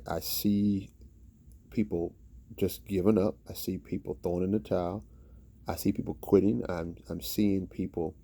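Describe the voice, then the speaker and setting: Deep gravelly man preaching motivation. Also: warm tone. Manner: preachy, repeats phrases for emphasis. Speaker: channel host giving a motivational talk. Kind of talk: motivational talk to camera.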